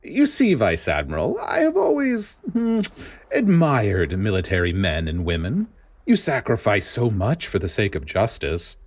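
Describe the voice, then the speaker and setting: A grating, smug male bureaucrat in a story reading. The voice sits well above a deep natural register and swings widely in pitch, with sugary, condescending, theatrical politeness. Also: wildly swooping pitch, measured pace, exaggerated voice. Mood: sugary, wheedling. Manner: dramatic, sugary, wheedling condescension. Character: smarmy, grating male government director, fake-sweet and condescending.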